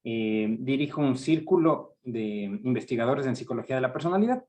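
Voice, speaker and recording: A man's voice: mid-range voice, animated, darkish tone. Ecuadorian man leading a workshop. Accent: Ecuadorian Spanish. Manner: measured, hesitant with frequent fillers. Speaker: university research director giving a workshop. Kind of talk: academic training seminar.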